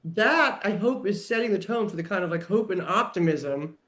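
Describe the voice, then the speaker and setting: A woman's voice: medium pitch, lively intonation, moderate pace, a bit bright. A woman chatting casually on a podcast, enthusiastic and emphatic, with a conversational manner full of "like". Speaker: guest TV writer and producer.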